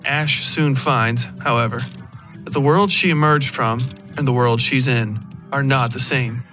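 A man reading a scripted horror story as a podcast narrator, in a measured, literary delivery with deliberate pauses. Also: medium pitch, steady tone, bright, forceful tone, faint music behind.

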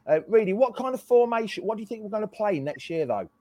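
A hoarse, croaky man hosts a casual online chat. He talks in an easy, chatty way and greets people one by one. The sound is dry and close, with little room in it. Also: high voice, animated, fast talker, dark tone, dry recording. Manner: chatty, greets each guest by name. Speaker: host. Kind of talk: casual chat on a video call.

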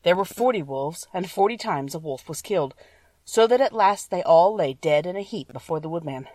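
Lower-pitched woman narrating a storybook. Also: lively intonation, moderate pace, dark tone, no echo, far from the mic. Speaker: audiobook narrator. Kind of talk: scripted children's story narration.

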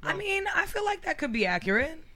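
A young woman from New with a raspy voice, guesting on a podcast and talking quickly and bluntly. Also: very animated, pushed and bright. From New York City. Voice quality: deep, raspy. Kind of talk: casual chat.

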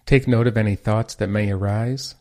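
A man with a fairly low voice guides a meditation. He gives simple instructions in a calm, measured way, pausing between phrases as he goes.

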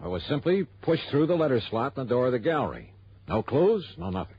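Wry American male detective lead, very husky and slangy, on a dull vintage radio recording. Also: mid-range voice, sing-song, fast talker, full character voice. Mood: businesslike. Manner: wry, sardonic, clipped slangy talk. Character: wry, brisk male insurance investigator. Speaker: lead actor and narrator. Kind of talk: 1960s radio detective drama acting.

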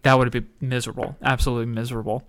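A man's voice: mid-range voice, flat delivery, very fast, slight background noise. A man co-hosting a podcast, recounting things in a casual, colloquial, conversational way. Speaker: podcast co-host.

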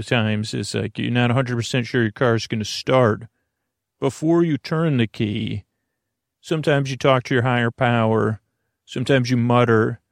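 A man with a creaky voice hosting a bedtime podcast, meandering and halting as he stammers and circles back on his words. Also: medium pitch, no room sound, clean studio sound. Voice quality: creaky, gravelly. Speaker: podcast host. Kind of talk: rambling bedtime podcast monologue to help listeners sleep.

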